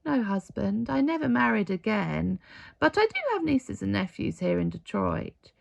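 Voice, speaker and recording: Storybook role, played by a female reader: elderly woman, sorrowful Holocaust survivor, heartfelt and halting, expressive swings in pitch. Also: gravelly, full character voice. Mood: gracious.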